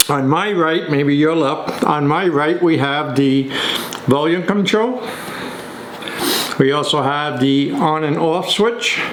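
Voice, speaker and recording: A man's voice: fairly high pitch, a bit bright. A man giving a casual, step-by-step product walkthrough in an instructional way. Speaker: product demonstrator. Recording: echoey room with faint noise.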